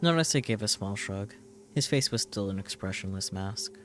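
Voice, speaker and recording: Low-voiced man reading aloud, some noise. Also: lively intonation, moderate pace. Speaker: streamer. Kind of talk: reading a visual novel aloud.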